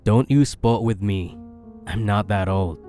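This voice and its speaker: A man's voice: on the low side, slow pace, warm tone, soft background music. A man playing a curt, cool, wealthy young man in an audio drama.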